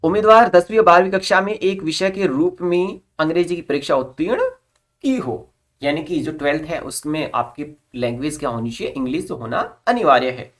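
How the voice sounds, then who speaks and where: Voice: medium pitch, lively intonation, a little fast. A presenter reading out an official recruitment notice in an instructional way, restating formal terms in simpler words. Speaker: presenter of job recruitment updates. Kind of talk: informational explainer reading out a notice with plain explanations.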